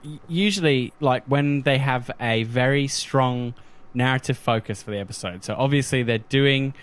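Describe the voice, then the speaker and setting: A man's voice: medium pitch, normal speed, smooth. A man talking informally as a panelist on a podcast. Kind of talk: casual panel chat.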